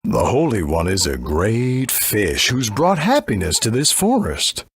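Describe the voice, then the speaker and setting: Low husky folksy forest monster. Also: male voice, huge pitch swings, unhurried, no echo, clean studio sound, exaggerated voice. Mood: rueful. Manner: folksy, wry. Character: big tame talking forest monster, gruff and rueful. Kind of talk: video game voice acting.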